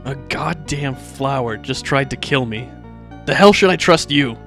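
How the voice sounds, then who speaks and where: A man playing a tall, irritable young man in an anime fan drama, speaking in a gruff, blunt, belligerent manner. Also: medium pitch, unhurried, a bit bright. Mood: hostile. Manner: blunt, curt, surly. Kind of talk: anime fan fiction character acting. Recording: music behind the voice.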